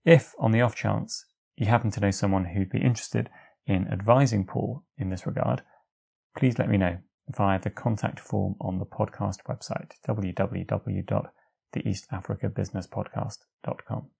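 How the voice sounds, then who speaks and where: A man's voice: lowish voice, fairly even intonation, normal speed, gravelly, darkish tone, breathy edge. A man hosting a podcast, moving between scripted introductions and interview questions. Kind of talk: scripted narration and friendly interview.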